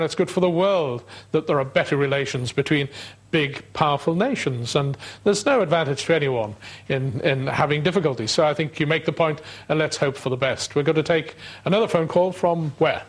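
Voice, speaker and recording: A man hosting a live call-in talk show, relaxed and self-deprecating, with many fillers. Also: a little high, brisk.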